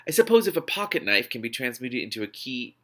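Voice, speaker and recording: A man's voice: medium pitch, very animated, fast, fairly bright tone. A man voicing a blunt, easily flustered young heroine in a novel reading, informal and hesitant.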